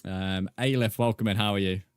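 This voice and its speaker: A man's voice: on the low side, fairly even intonation, brisk, smooth, dry recording, clean studio sound. An English man chatting casually with viewers as a live streamer, in a rambling, matey way. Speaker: video game live streamer.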